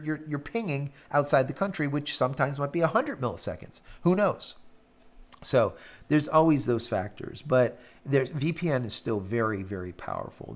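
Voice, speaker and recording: A man with a raspy voice hosting a live stream, casually chatting and reading out viewer comments, rambling with lots of fillers. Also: mid-range voice. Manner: rambling, filler-heavy, hums while scrolling.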